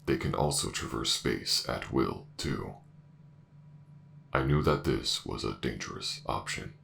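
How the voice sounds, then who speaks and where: A man playing a formal, calculating robotic AI in a science fiction audio drama, speaking in measured, unemotional statements. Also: rumbling low, gravelly, pushed and bright, slight background noise, exaggerated voice. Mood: detached, formal. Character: calculating robotic AI director of a former resistance.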